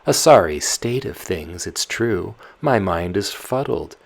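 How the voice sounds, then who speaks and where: A man's voice: low-pitched, lively intonation, slow, vocal fry, full character voice. A man voicing a fussy, devout old peasant nurse woman who exclaims fretfully. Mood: rambling.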